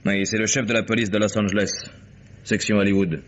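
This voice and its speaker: An actor playing a wry, unflappable private detective in a French radio mystery, dry and sardonic in manner. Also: male voice, fairly low pitch, flat delivery, a little fast, natural voice. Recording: dull, noisy vintage radio recording.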